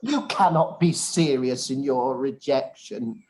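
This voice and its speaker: A man's voice: medium pitch, animated, slow pace, a little mellow. Pompous, long-winded young clergyman played by a man, self-satisfied and formal, for a period radio drama read. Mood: insistent, affronted.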